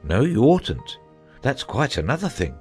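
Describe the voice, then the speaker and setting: A gentle, foolish knight acted by a man explains himself with fussy earnestness. His pitch moves up and down in a lively way, in a storybook drama with soft music underneath. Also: a little slow. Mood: fussy, vexed. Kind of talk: dramatized audiobook acting.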